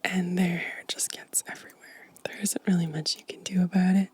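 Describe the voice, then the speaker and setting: A woman whispering gently and softly in an ASMR show-and-tell style, breathy and unhurried. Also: fairly low pitch, little pitch movement. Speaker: ASMR video creator.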